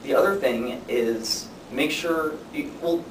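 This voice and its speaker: Young guy doing a class presentation, kinda deadpan, far from the mic w/ clear background rumble. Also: slow pace. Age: young adult. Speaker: student speaker. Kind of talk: informative class speech. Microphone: distant camera microphone. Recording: distant mic, echoey room, noisy rumble.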